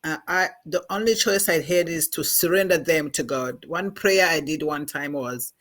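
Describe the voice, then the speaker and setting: Rwandan woman, middle-aged, talks slow and earnest with lots of uhs, interview guest. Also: low voice, a bit bright. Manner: earnest, measured, pauses with many fillers. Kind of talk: personal testimony in a podcast interview.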